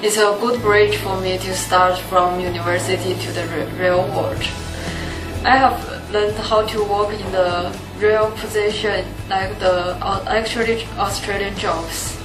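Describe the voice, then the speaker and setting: Intern in her twenties, Chinese accent, methodical, mid-pitched, in a roomy office. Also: fairly even intonation, a little slow. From China. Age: 20s. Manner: orderly, listing points. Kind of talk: short testimonial interview. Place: small office room indoors. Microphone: camera mic at short distance. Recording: roomy office with background music.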